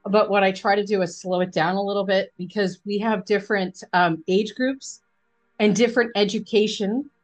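A woman's voice: medium pitch, fairly even intonation, normal speed, faint music behind. A woman from the US East Coast with an American accent, interviewing conversationally as a podcast host, chatty and encouraging.

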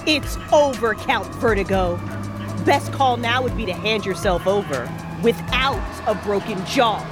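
A woman's voice: medium pitch, animated, measured pace, over music, close to an everyday voice. A woman playing a tough, cocky superhero vigilante in an audio drama, with sassy, confident banter. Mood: stern.

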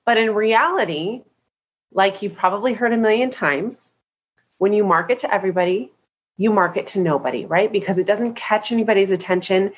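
A woman's voice: mid-range voice, fairly quick, husky. Upbeat congested woman, business coach teaching a group call; chatty, keeps checking in. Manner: upbeat, chatty, says "right?" often. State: congested from a head cold.

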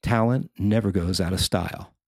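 A man with a fairly low voice narrates a written feature article. He reads from a prepared script as its writer, in a dry recording with very little room sound. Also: moderate pace, a little mellow, husky, no echo. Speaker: newspaper writer reading own article.

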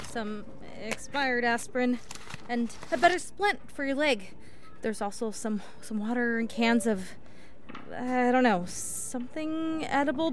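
A woman's voice: on the high side, a little hiss or hum. A woman acting in an audio drama as a resourceful, reassuring hiker who rambles and swears under pressure. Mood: relieved, wry. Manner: naturalistic, hesitant rambling.

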